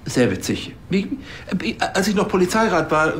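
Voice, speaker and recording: An actor playing a meddling, stammering and self-important former police chief in a screen drama. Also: male voice, high-pitched, sing-song, normal speed, fairly bright tone, a little hiss or hum. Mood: insistent, flustered.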